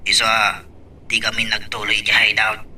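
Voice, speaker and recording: A man's voice: fairly low pitch, monotone, normal speed, pushed and bright, recorded from a distance. A man acting a hesitant, deferential henchman on the run in an Ilocano radio drama, with a Filipino accent. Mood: deferential, uneasy. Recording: clear vehicle noise behind.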